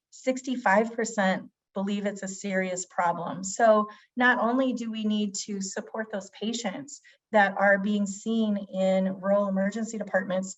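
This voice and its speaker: Woman, medium pitch, lightly scratchy with a lingering cold, semi-scripted presentation, dull webinar recording. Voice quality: slightly scratchy. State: getting over a cold. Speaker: physician presenter. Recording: dull, band-limited webinar audio.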